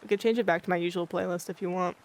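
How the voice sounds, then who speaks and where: A livestreamer chatting casually with viewers in a monotone, blunt-sounding way, often losing their train of thought. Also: medium pitch, very fast. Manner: rambling, loses track of thoughts. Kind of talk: casual chat with live viewers. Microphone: filtered streaming mic.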